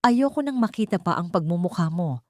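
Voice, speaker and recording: A woman's voice: lowish voice, expressive, fairly quick, no echo, natural voice. A bitter, commanding rich man voiced by a female narrator in a romance audio drama. He speaks with menace and accusation, and the sound is dry and close. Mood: cold fury.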